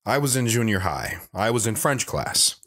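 Man playing a wistful, low-voiced storyteller. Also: sing-song, normal speed, a bit bright, dry recording, clean studio sound. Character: wistful man recounting his youthful romance. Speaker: talk show guest telling his story. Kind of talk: dramatized storytelling.

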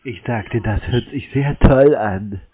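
A man's voice: medium pitch, takes it slowly, breathy edge. A man in a casual phone chat, giving short, naive-sounding answers as he plays along. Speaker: prank call recipient playing along. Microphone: telephone. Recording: tinny narrow phone line.

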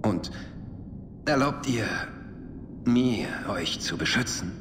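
Slow, theatrical, menacingly polite tyrant. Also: male voice, lowish voice, lively intonation, reverberant, long reverb tail, background noise. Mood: silky menace. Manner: theatrical, mock-courteous, drawn-out with sudden pauses. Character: flamboyant, menacingly polite male tyrant king. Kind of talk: video game cutscene acting.

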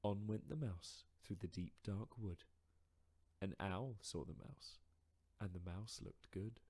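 A man's voice: lowish voice, slow, a little mellow, breathy edge. A man narrating a children's picture book as a storyteller, reading from a script.

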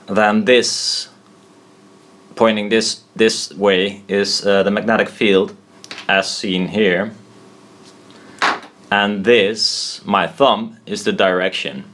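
Man, lowish voice, very slow halting delivery, informal tech explainer, self-deprecating, thinking aloud. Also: little pitch movement, a bit bright. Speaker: presenter explaining loudspeaker technology. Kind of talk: informal hand-drawn tutorial, thinking aloud. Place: indoors at a desk.

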